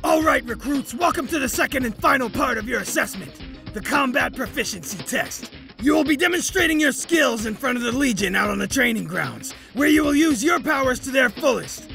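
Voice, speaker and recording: A man's voice: very high-pitched, lively intonation, gravelly, pushed and bright, soft background music, exaggerated voice. A man playing a harsh, commanding military drill instructor who barks at recruits in a fan audio drama. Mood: stern, commanding. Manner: barking, commanding, drawn-out emphasis.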